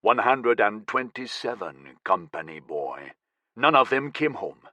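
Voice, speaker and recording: A man voicing a cold, menacing militant leader who speaks slowly in a low, dangerous tone. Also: very animated, bright, forceful tone, dry recording, exaggerated voice.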